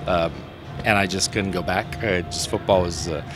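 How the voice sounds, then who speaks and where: A man's voice: sits low, moderate pace, over music. A middle-aged man from southern Brazil, a native Portuguese speaker, telling stories in a casual interview on a rooftop, talking into a handheld mic with many restarts. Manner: animated, rambling storyteller with many restarts. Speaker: interpreter guest. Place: outdoor rooftop terrace, city traffic behind. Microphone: handheld mic held by interviewer.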